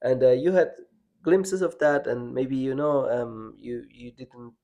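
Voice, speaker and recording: Man from Switzerland, podcast host, soft mellow tone, thinks aloud with restarts. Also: mid-range voice. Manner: reflective, halting, many restarts and fillers. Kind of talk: casual personal conversation.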